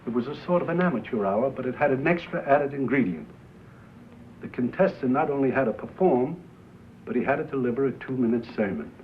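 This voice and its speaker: An actor playing a prim, earnest American small-town radio station owner, polite, literal-minded and hesitant, in a 1950s film drama. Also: male voice, medium pitch, expressive, brisk, close to an everyday voice. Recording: dull noisy 1950s film soundtrack.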